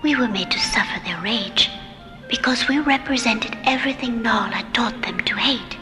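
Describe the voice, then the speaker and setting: An actress playing a serene, mystical alien woman in a science fiction radio drama, speaking solemnly with a breathy, hushed voice. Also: on the high side, natural voice. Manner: solemn, measured. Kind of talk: old-time radio science fiction acting. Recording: long hall reverb, music behind.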